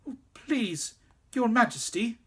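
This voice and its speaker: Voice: mid-range voice, expressive, takes it slowly, slightly rough, pitched above the performer's own voice. A storyteller voicing a frightened young boy who stammers and pleads. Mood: pleading.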